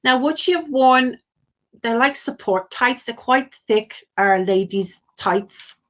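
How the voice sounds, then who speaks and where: A British woman with a fairly high voice gives a live spirit reading as a phone-in medium. She talks in a casual, chatty flow and keeps checking in with the person she is speaking to. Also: slow pace, fairly bright tone. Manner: chatty, often checks with "okay?" and "do you understand".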